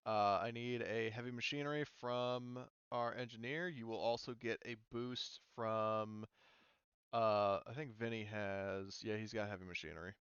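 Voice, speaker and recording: A man narrating a tabletop roleplaying game casually as game master, haltingly thinking aloud as he goes. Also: lowish voice, steady tone, a bit bright, clear, resonant tone, dry recording, highs cut off. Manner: halting, thinking aloud while checking rules.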